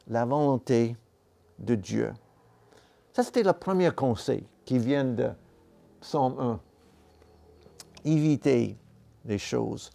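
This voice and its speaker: A man preaching a sermon in a church, a non-native speaker of the language, talking slowly and deliberately with pauses and self-corrections. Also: mid-range voice, creaky, dark tone. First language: not French.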